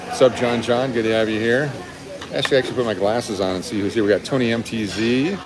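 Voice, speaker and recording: A man in his fifties chatting casually and rambling a little as he hosts a live stream, recorded on a phone indoors. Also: fast talker. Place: busy restaurant, indoors. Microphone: phone held at arm's length. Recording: restaurant chatter and rumble behind voice.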